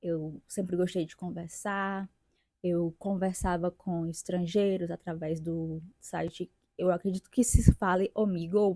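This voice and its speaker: A woman in her twenties from Brazil, an English teacher and linguist, talking about her own experience in a reflective vlog with pauses and restarts. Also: lowish voice, mellow, no echo. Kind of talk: casual personal vlog.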